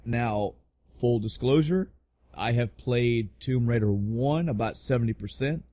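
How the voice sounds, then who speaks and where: Male podcast host, mid-pitched, casual and rambling, emphatic with lots of cursing, dry recording. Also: a little slow, mellow, dry recording. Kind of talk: casual podcast chat about video game movies.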